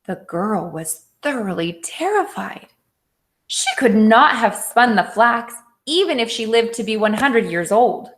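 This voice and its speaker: Slow-paced woman teacher reading to children. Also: a little high, sing-song, fairly bright tone. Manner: instructive, explaining simply with questions to listeners. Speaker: elementary school teacher. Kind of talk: read-aloud lesson with commentary for children.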